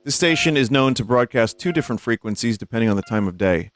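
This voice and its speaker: A man's voice: mid-range voice, animated, fast talker, a little hiss or hum. A man hosting a livestream, reading text aloud and commenting casually. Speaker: streamer and host.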